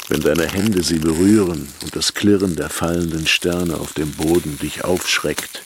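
A man reciting poetic scripted narration in a radio play, deliberately and in a literary style. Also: very deep voice, fairly even intonation, a little hiss or hum. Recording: loud music behind the voice. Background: plucked guitar music.

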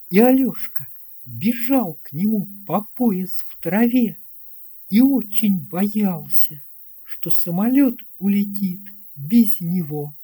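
A narrator reading a children's tale in a measured, expressive storytelling manner. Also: mid-range voice, husky. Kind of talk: scripted audiobook narration of a children's fairy tale.

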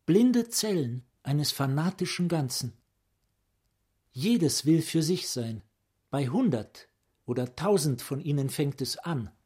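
Philosophical psychiatrist, radio play, rhetorical delivery, breathy husky tone, lightish pitch. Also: male voice, expressive, takes it slowly, darkish tone, heavily acted. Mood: fervent, visionary. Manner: eloquent, rhetorical. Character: intellectual psychiatrist brother, probing and philosophical. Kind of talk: radio drama acting, literary monologue.

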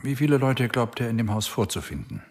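An actor playing an investigator in an audio drama, questioning a suspect in terse, probing lines. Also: male voice, medium pitch, big pitch swings, fairly quick. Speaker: interrogator.

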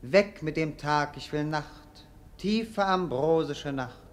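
An actor playing a languid, melancholy young prince in a radio play, declaiming poetically and commandingly. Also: male voice, a little high, takes it slowly, slight background noise. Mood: languid, imperious. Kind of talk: theatrical German radio play acting.

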